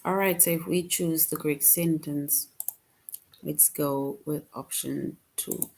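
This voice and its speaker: Slow, low-voiced woman teaching. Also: fairly even intonation, vocal fry, a little mellow. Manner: slow, patient, reads answer options aloud. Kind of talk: online grammar lesson for children.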